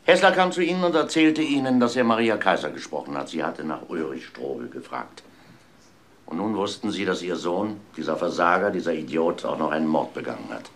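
Insistent inspector for crime radio drama, male actor: low-pitched, very animated delivery, emphatic and accusing. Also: slight background noise, exaggerated voice. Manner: deliberate, emphatic interrogator. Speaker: actor in an amateur crime audio play.

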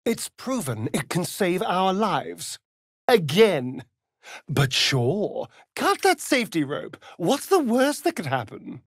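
An actor playing a sly, vain, sardonic vampire rogue in a video game, with an arch, theatrical drawl. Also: male voice, high voice, sing-song, slow pace, slightly rough, no room sound, studio quality, full character voice. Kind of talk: video game character voice lines.

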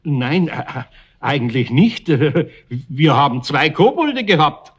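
A man's voice: fairly high pitch, very animated, takes it slowly, husky, muffled recording, exaggerated voice. A man playing a kindly, grumbling older master craftsman with a Bavarian accent in a children's radio play. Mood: polite, amiable.

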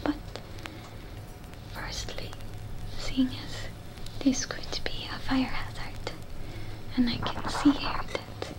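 A woman acting as a gentle young robot repair technician in a cyberpunk ASMR roleplay. She whispers slowly and high, close to the microphone, patiently reassuring whoever she is tending. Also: steady tone, poor audio. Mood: patient, questioning. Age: young adult. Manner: soothing, slow, reassuring. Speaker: ASMR performer.

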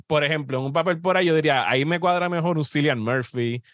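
A man's voice: on the high side, fast, fairly bright tone. A middle-aged man co-hosting a film critic podcast, giving blunt opinions in a casual chat over a headset mic. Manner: blunt opinions, laughs often.

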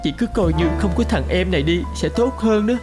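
Man acting a plaintive, high-voiced ashamed younger brother. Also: brisk, natural voice. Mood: ashamed, pleading. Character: despondent younger brother in prison, ashamed and pleading. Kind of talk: melodramatic cartoon acting. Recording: music under the dialogue.

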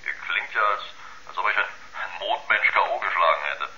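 An actor playing a worried, impatient astronaut in a radio drama, calling his crewmates with brisk insistence. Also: low-pitched. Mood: insistent, worried. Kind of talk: 1970s German radio drama acting. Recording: dull, sharp radio-link sound.

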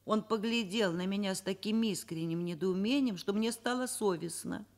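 A woman's voice: medium pitch, normal speed, natural voice. An actress playing a kindly, wry, educated woman professor in a Soviet radio drama, speaking thoughtfully and expressively. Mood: reflective, wry. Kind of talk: Soviet radio drama acting, first-person narration and dialogue.

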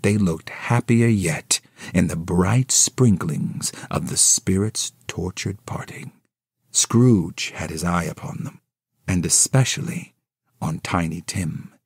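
A man's voice: low-pitched, darkish tone, breathy edge, no echo, studio quality. A man narrating an audio play in a scripted, dramatic storybook style.

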